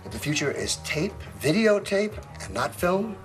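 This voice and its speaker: Indignant, emphatic film director. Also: male voice, high-pitched, big pitch swings, normal speed, soft background music. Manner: confrontational, emphatic.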